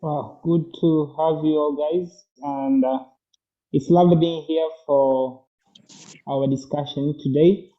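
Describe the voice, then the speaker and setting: A man's voice: fairly high pitch, slow. A man from Tanzania moderating an online panel in a polite, formal way. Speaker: moderator, mental health therapist. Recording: muffled lo-fi audio.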